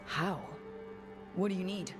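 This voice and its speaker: Low-voiced, wry heroine played by a woman. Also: fairly even intonation, measured pace, natural voice. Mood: composed, questioning. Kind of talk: video game character dialogue. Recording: music behind.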